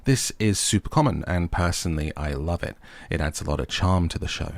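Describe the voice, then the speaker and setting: A man's voice: deep voice, animated, a little fast, gravelly, no echo. A man hosting a scripted recap with playful, tongue-in-cheek asides. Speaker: host of a recap and review channel. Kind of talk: scripted recap narration with wry jokey asides.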